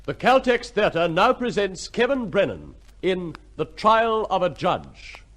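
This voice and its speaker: A man's voice: high voice, big pitch swings, slow pace. A man as a formal, theatrical announcer presenting an old-time radio play. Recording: loud crowd-like din behind.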